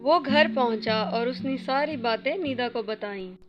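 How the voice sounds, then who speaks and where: A woman's voice: on the high side, a little slow, muffled recording. A woman narrating a scripted moral story as a storyteller. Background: music.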